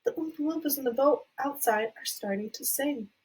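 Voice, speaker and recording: A woman voicing a young boy who whispers in a hushed voice. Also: sits high, normal speed, pitched above the performer's own voice, close to an everyday voice, rough recording.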